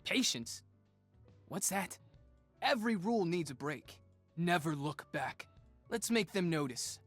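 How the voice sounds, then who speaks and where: A man's voice: high voice, lively intonation, a little slow, fairly bright tone, soft background music, full character voice. A man playing a cocky, determined young male inventor and street fighter in punchy, brash video game lines. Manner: punchy, brash one-liners.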